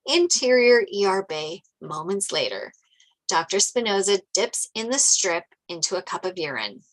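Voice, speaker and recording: A woman's voice: medium pitch, big pitch swings, normal speed, rough recording. A woman reading stage directions as narrator at a script table read.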